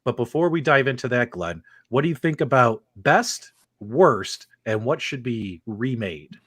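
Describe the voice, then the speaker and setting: A man's voice: medium pitch, animated, unhurried, a bit bright, slightly rough, dry recording, clean studio sound. A man hosting a casual live-stream panel show, chatting informally and teasing his guests. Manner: jokey, teasing, addresses guests and viewers informally. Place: remote online video call.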